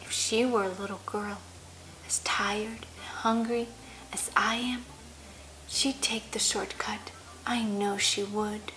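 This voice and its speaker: A woman's voice: medium pitch, level tone, slow, bright, forceful tone, pitched below the performer's own voice, poor audio. A woman voicing a stubborn, pouty little schoolgirl arguing her way through a children's bedtime story. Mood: sulky, muttering. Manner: pouting, reasoning back stubbornly.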